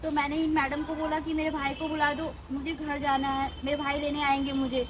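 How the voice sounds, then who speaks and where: Plaintive, high, even-toned wronged sister played by a woman. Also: fairly quick, noisy background, natural voice. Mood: aggrieved. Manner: plaintive, complaining. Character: wronged, humble village sister, plaintive and indignant. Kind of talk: amateur Hindi melodrama skit acting.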